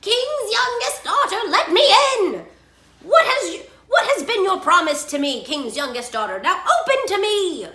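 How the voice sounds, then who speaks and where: A pleading male frog from a fairy tale, played by a woman reading aloud, pitched high and swooping. The words are drawn out and insistent, in a bright tone. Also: wildly swooping pitch, measured pace, reverberant, full character voice. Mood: loud, demanding. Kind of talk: storybook character read aloud.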